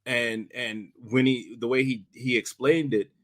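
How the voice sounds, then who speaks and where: A man chatting casually about directing, speaking in a halting, rambling way and often repeating himself. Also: mid-range voice, steady tone, a bit bright, no room sound. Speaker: director and podcast host. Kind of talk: casual behind-the-scenes chat.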